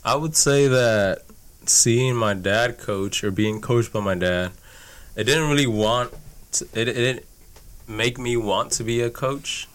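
A man's voice: mid-range voice, unhurried, fairly bright tone. A young man from Houston answering casually as an interview guest, in a reflective, informal way. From Houston, Texas. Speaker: youth soccer coach, podcast guest.

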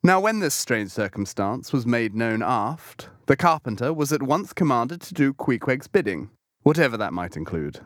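A man reading a classic novel as an audiobook, with measured, expressive storytelling delivery. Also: mid-range voice, no room sound, clean studio sound. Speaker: audiobook reader. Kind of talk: literary audiobook narration.

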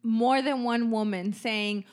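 A woman co-hosting a podcast, chatting casually, full of "like" fillers and quick to laugh. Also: fairly high pitch, fairly even intonation. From United States. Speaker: podcast co-host. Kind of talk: casual conversation.

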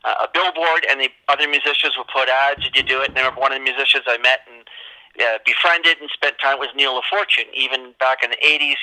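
A Canadian man in his forties speaks as an interview guest, telling stories in a casual, loosely rambling way. His voice is strikingly bright and sharp, with a middling pitch. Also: poor audio.

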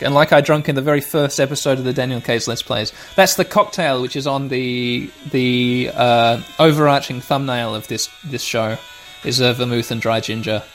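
A man in his thirties with a slightly hoarse voice, hosting a let's play with rambling, sweary, mock-dramatic gameplay commentary. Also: mid-range voice, a bit bright. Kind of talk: casual gameplay commentary with nostalgic asides. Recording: music playing behind the voice. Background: music.

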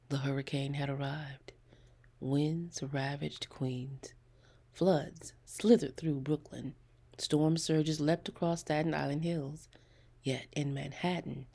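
A woman narrating scripted fiction with a husky, breathy voice and measured, dramatic storytelling delivery. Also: deep voice, mellow. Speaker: narrator reading a short story.

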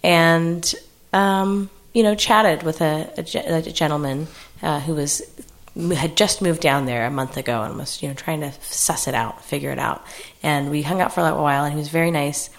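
A woman telling a casual anecdote on a comedy podcast, halting and wry. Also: deep voice, fairly even intonation. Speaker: comedy improviser.

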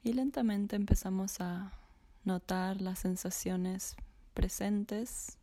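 A woman slowly guiding a body scan meditation in a soft, half-whispered voice. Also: mid-range voice, fairly even intonation, no echo. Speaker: meditation guide.

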